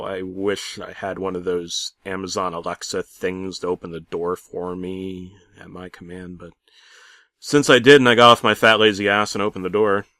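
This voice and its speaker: A man narrating a comic creepypasta theatrically, swinging between hushed and loud delivery. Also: fairly low pitch, no echo, studio quality. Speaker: horror story narrator.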